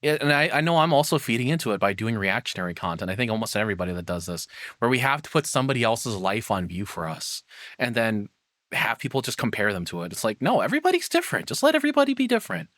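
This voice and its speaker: A man's voice: mid-range voice, big pitch swings, fast, fairly bright tone, no room sound, clean studio sound. A man streaming a casual, opinionated rant, rambling and self-deprecating as he chats with viewers. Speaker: streamer and VTuber host.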